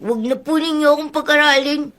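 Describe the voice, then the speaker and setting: A woman's voice: high-pitched, steady tone, fast talker, a bit bright. A woman with a Filipino accent playing a cheeky, tender-hearted young street boy in a radio drama, speaking in an earnest, childlike way. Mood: shy, earnest.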